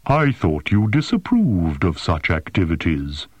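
Man doing a big gentle alien, rumbling, unhurried, politely formal, audiobook voice. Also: sing-song, slightly rough, no room sound, highs cut off, exaggerated voice. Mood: polite concern. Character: huge, placid, courteous male alien. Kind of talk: audiobook character voice.

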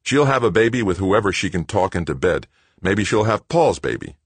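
Man acting volatile, bitter son, pitch swinging high. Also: expressive, normal speed, slightly rough, dry recording, exaggerated voice. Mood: bitter, shocked. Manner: snarling, ranting, screaming. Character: volatile, bitter adult son, enraged and anguished. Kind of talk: audiobook character acting.

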